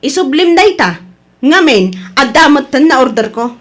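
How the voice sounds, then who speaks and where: A woman's voice: sits high, very animated, a little slow. A woman with an Ilocano Filipino accent playing a stubborn, huffy, bossy village wife who holds grudges, in a radio drama. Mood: indignant. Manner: huffy, insistent.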